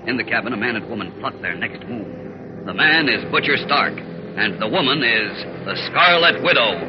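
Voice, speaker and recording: A man's voice: mid-range voice, animated, normal speed, noisy background. A man narrating a vintage radio serial as its announcer, dramatic and suspenseful. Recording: muffled old radio recording with loud music.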